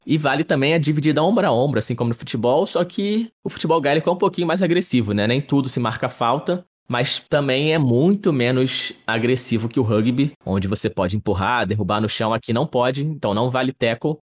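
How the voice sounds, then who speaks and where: A young man co-hosting a podcast, explaining sports history in a relaxed, chatty way, often adding little tag questions. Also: medium pitch, brisk, hardly any pauses. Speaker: podcast co-host. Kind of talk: casual explanatory chat.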